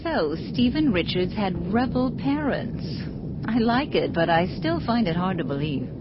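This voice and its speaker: Expressive, guarded woman leader. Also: unhurried, loud music behind the voice. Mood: guarded, sympathetic. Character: cautious, poised woman leader, warily sympathetic to rebels. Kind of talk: sci-fi radio drama acting. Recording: muffled, noisy rumbling audio.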